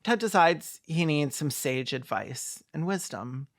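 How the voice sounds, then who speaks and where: A man's voice: on the high side, animated, unhurried, a bit bright. A man giving comedic film commentary in a sarcastic, campy, theatrical manner. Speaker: video commentator.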